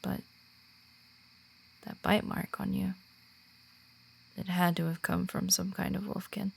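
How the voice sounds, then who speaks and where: A woman's voice: medium pitch, level tone, takes it slowly, creaky, darkish tone, a little hiss or hum. A woman voicing a friendly female werewolf who guides and reassures a new pack member in a confiding roleplay audio. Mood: confiding, concerned. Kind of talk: roleplay audio drama in ASMR manner.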